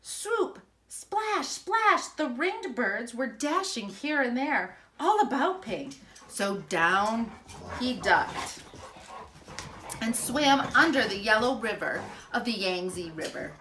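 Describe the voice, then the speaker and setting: Female storybook reader for children: high-pitched, expressive and emphatic, taking her time. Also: slow, a little hiss or hum. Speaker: school principal reading aloud. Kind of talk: children's storybook read-aloud.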